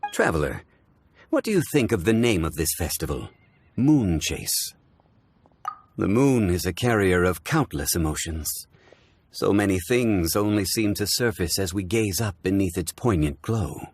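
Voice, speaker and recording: A man voicing a calm, wistful, dignified gentleman in a video game, with formal, poetic and deliberate speech. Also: deep voice, slight background noise.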